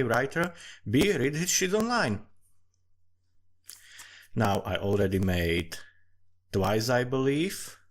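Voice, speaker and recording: A man from Slovakia with a Slovak accent, giving casual, rambling commentary in a profane, self-mocking and digressive way. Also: mid-range voice. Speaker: storyteller and amateur writer. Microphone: desktop USB condenser microphone.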